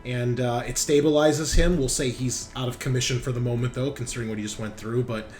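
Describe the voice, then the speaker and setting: A man's voice: medium pitch, steady tone, fast talker, a little mellow, faint music behind. A man running a tabletop roleplaying game as game master, narrating and explaining in a casual, conversational way.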